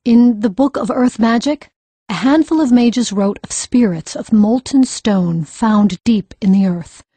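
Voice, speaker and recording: An actress playing a learned healer-mage, measured, brisk and authoritative, in an audiobook. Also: female voice, on the high side, dark tone, slightly rough, no echo. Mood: grave, explanatory.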